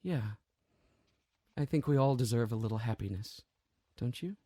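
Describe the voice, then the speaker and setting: Husky, grieving man, played by an actor. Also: medium pitch, dry recording, studio quality, lightly acted. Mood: tender, subdued. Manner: halting, hushed, earnest. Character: grieving, guilt-ridden man gently explaining the impossible. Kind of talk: audio drama acting.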